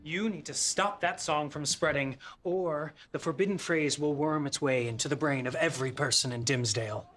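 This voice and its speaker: A voice actor playing the bossy leader of a council of pop stars, with a brisk, deadpan delivery. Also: mid-range voice, music in the background, natural voice. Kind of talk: cartoon voice acting.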